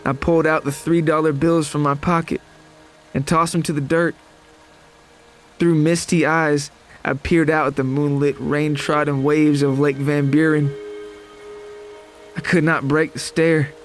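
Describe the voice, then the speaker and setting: A haunted, shaken male survivor , acted out by a man, recounts his ordeal in first person. His delivery is grave and literary, like a dramatic horror audio story, with a slightly high pitch and music underneath. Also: flat delivery, unhurried, pauses often, lightly acted. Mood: numb, haunted. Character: traumatized, bloodied male survivor of a lake monster attack. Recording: music behind the voice.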